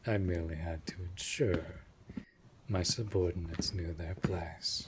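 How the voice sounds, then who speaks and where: A man playing a protective, commanding vampire master in an audio roleplay, speaking in a formal, measured, authoritative way. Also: deep voice, steady tone, heavy background noise. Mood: protective, reassuring. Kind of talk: scripted audio roleplay acting.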